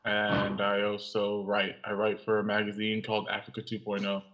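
Young man from Cameroon, flat lo-fi voice. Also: on the low side. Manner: measured and reflective, some pauses. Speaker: guest, audiovisual artist and writer. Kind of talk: interview conversation. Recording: lo-fi, slightly dull sound.

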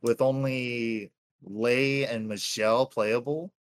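A man's voice: medium pitch, steady tone, takes it slowly, clean tone. Laid-back man saying "dude" a lot. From Hawaii. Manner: laid-back, keeps calling people "dude". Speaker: podcast guest. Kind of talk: casual chat.

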